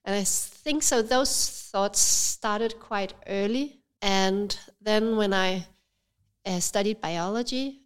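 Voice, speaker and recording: A woman with a Danish accent and a slight cold, talking thoughtfully in an interview and often pausing with fillers. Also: medium pitch, clean tone. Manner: thoughtful, hesitant with frequent fillers. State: has a cold, slightly stuffy. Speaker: cafe and yoga studio owner, guest. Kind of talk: casual interview conversation.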